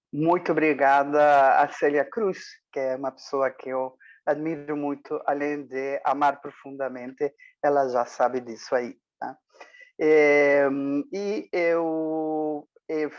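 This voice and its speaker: A woman from Mexico and Nicaragua with a Spanish accent, speaking as a guest on an online panel, warm and storytelling with many fillers. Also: low-pitched, highs cut off. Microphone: video call.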